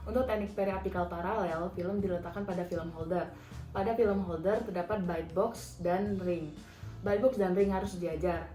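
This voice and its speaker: Young woman student walking through numbered steps, medium voice, roomy echo, soft music behind. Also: medium pitch. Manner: methodical, enumerating numbered steps; polite, addresses patient in role-play. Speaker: dental radiography student. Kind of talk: instructional skills demonstration.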